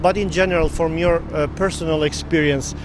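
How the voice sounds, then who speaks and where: A man's voice: a little high, steady tone, fairly quick, background noise. A man hosting a TV interview who asks formal questions in a hesitant way, with many filler sounds. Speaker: interviewer, TV host.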